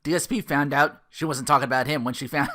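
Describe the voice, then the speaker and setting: A podcast host giving casual, exasperated and sarcastic commentary in an animated way, swearing freely. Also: mid-range voice, a little fast. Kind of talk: casual ranting commentary about an internet personality.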